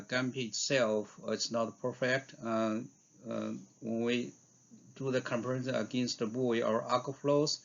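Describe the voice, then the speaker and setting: Halting man presenting research, dull audio. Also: medium pitch, gravelly. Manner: halting, hesitant delivery with frequent fillers. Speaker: researcher giving invited talk. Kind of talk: scientific conference presentation.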